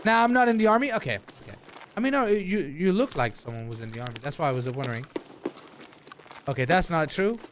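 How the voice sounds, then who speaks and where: Animated high-pitched male streamer. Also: quick pace, background noise. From Denmark. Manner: animated, repetitive, slangy and profane. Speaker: live game streamer. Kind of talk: casual chat with stream viewers. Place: indoor room, streaming setup. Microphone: close desk microphone, headset worn.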